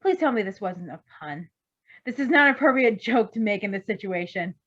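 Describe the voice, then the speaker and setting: A woman's voice: mid-range voice, lively intonation, fairly quick, fairly bright tone. Woman reading as a dry, formal superhero man. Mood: exasperated. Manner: precise, formal. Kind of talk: amateur fan fiction character reading. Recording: dull lo-fi recording.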